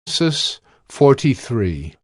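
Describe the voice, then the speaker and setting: A man narrating a dramatized Bible reading in a steady, measured way. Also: mid-range voice, no echo, studio quality.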